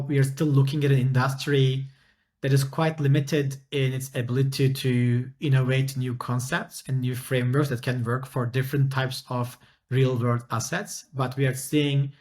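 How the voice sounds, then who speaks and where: A middle-aged man from Turkey answers interview questions at an even, steady pitch. He speaks carefully and thoughtfully, pausing often to fill gaps with ums and uhs. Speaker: startup founder and CEO, interview guest.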